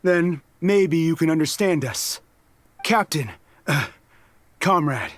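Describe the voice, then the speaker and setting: A man's voice: on the high side, expressive, takes it slowly, no echo. A man voicing a proud, embittered male rebel soldier in a video game, giving earnest, defiant speeches. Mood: confessing. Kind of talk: game voice acting.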